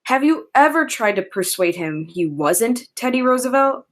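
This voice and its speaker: A young woman acting a mild, courteous older clergyman in a high school radio play, measured and polite. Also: very animated.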